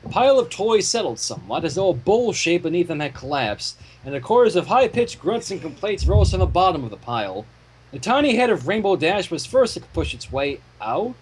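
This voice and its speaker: A man reading a story aloud dramatically, with expressive reactions and asides. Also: sits high, brisk, a little hiss or hum. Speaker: fan fiction reader.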